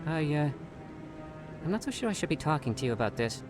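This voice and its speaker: Nervous scientist with lively pitch. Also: male voice, brisk, music in the background. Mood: flustered, evasive. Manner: hesitant, stammering, evasive. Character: nervous, secretive, earnest scientist. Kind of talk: video game dialogue.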